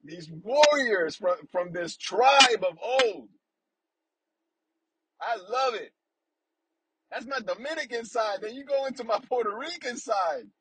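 A man's voice: very high-pitched, animated, slow pace, long pauses, poor audio. A man hosting a casual faith talk, rambling affectionately and often asking "you know what I'm saying?". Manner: affectionate, warmly emotional about family. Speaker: podcast host.

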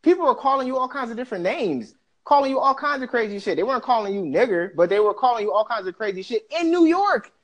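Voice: mid-range voice, lively intonation, quick pace. A podcast co-host from the Bahamas, ranting animatedly and profanely in a casual discussion.

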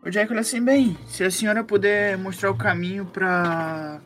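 A man's voice: high voice, steady tone, moderate pace, a bit bright, faint music behind. A man with a Brazilian accent, a role-playing game player chatting casually in and out of character.

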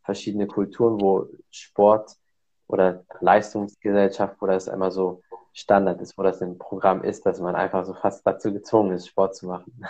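A man's voice: sits low, completely flat delivery, moderate pace, mellow, slightly rough, highs cut off. A man hosting a casual podcast who explains exercises at length with many fillers. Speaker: podcast host.

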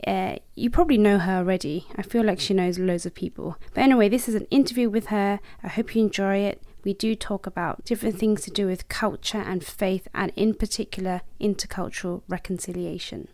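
A woman hosting a podcast, who speaks gently and encouragingly and laughs easily while she guides a reflection and an interview. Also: mid-range voice, fairly quick, few pauses, dry recording. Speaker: podcast host.

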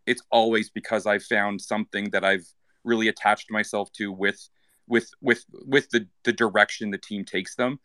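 A man from Canada with a slightly low voice talks through his thoughts in loose, rambling chat. He restarts phrases often and leans on fillers, and the sound is close and dry. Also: bright, forceful tone, dry recording. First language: English. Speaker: guest. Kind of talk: casual chat in an online audio space.

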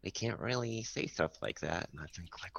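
Very deep, gravelly man chatting. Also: fairly quick, slight background noise. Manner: animated storytelling, swearing freely. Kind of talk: casual podcast chat. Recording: dull, muffled lo-fi sound.

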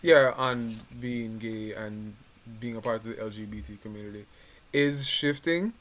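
A man co-hosting a podcast, animatedly retelling a news story with many pauses. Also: on the low side, a crowd in the background. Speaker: podcast co-host. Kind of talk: casual chat, recounting news.

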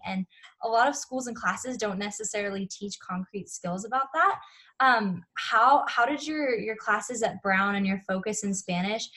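Woman cohost on a podcast, kinda halting, restarts her sentences a lot. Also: mid-range voice, a bit bright. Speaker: podcast co-host. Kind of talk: interview questions.

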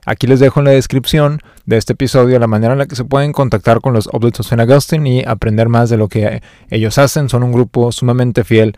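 A man hosting a faith interview, asking measured, polite questions and closing the episode. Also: medium pitch, fairly even intonation, a little mellow, no room sound, studio quality. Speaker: host, interviewer. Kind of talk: interview and channel outro.

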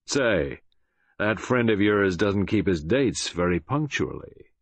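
A man's voice: on the low side, animated, measured pace, fairly bright tone, husky, no echo, full character voice. A man voicing a cold, gloating American gangster villain in an audiobook, with a menacing drawl. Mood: cold, threatening. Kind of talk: audiobook character voice.

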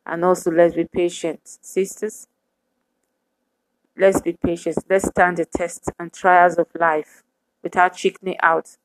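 A woman preaching a sermon to women, speaking in measured, emphatic repetitions. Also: fairly low pitch, flat delivery, dry recording, poor audio.

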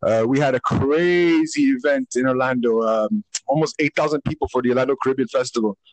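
A young man from the Bahamas, a DJ guest on a casual podcast, talking in a hyped, slangy way and giving shout-outs. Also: mid-range voice, fairly quick, poor audio. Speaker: DJ and carnival spokesperson guest.

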